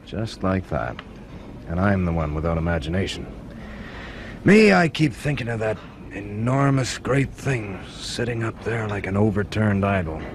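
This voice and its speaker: A man playing a keen, restless young student in a radio drama, talking informally in excitable, slightly hesitant bursts. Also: fairly low pitch, measured pace, natural voice. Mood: casual, wry. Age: young adult. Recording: faintly noisy radio drama recording.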